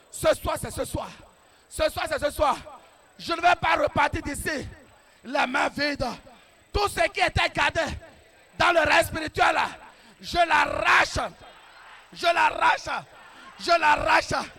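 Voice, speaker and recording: A man preaching a fervent deliverance prayer, shouting declarations and repeating each phrase many times. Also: extremely high pitch, vocal fry. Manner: repetitive declarations, fervent commanding tone.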